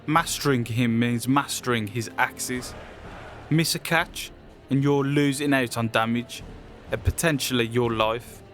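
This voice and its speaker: A man's voice: mid-range voice, a little slow, a bit bright, faint music behind. A man presenting a game character showcase in a scripted promotional narration style.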